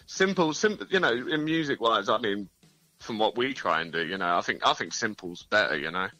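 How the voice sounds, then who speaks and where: An easygoing man from a band, chatting about his music in a relaxed way. His voice is bright and forward, and it sounds dry and close, with no room around it. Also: on the low side, brisk, no echo, rough recording. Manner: easygoing, hedging with "you know". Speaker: band member guest. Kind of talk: casual interview over the phone.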